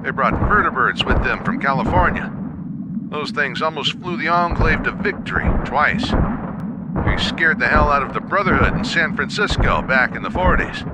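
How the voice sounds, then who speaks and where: A man's voice: on the high side, expressive, moderate pace, very noisy, natural voice. A man playing a wry veteran wasteland soldier, telling war stories conversationally as the storyteller of an audio drama. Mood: wry storytelling. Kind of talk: in-character storytelling over radio. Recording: battle sound effects behind the voice.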